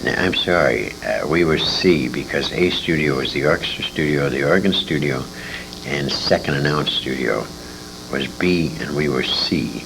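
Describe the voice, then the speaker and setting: A man's voice: a bass voice, moderate pace, gravelly. An older man, a former radio actor, reminiscing as an interview guest in a rambling, self-correcting way on a noisy amateur recording.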